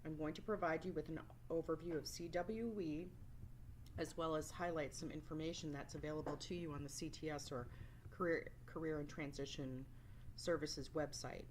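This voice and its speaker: A woman's voice: sits low, fairly even intonation, normal speed, darkish tone, a little hiss or hum, poor audio. A woman teacher giving a scripted, explanatory training presentation as a voice-over. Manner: instructional, explanatory. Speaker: work-based learning resource teacher.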